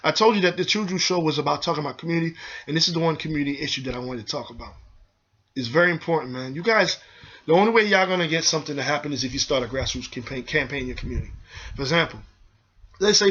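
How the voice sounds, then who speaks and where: A man on a heated vlog rant, talking fast and hard in a somewhat high, tense voice. He preaches and repeats himself, calling out to his viewers directly. The recording sounds dull and lo-fi. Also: lively intonation, a bit bright, husky. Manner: heated, preachy, repetitive, addresses viewers as y'all. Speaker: video host.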